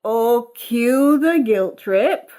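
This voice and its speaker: A woman's voice: a little high, expressive, drawn-out words, dark tone. Woman acting a blunt, defiant young woman. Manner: blunt, sarcastic. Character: defiant, fed-up thirty-year-old Afro-Caribbean woman. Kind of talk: dramatic screenplay reading.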